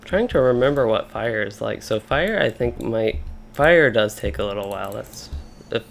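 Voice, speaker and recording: A man's voice: on the low side, animated, normal speed, creaky, slight background noise. A young man from Kentucky, casually commenting while he plays an online game, thinking aloud with many fillers and restarts. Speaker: game streamer.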